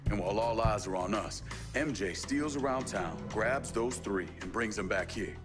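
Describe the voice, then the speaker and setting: An actor playing a cool, tough young outlaw with a Southern US accent, calm and laconic, in a video game. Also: male voice, on the low side, normal speed, over loud music, lightly acted. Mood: focused. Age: young adult. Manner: calm, laconic, matter-of-fact. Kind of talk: video game cutscene acting.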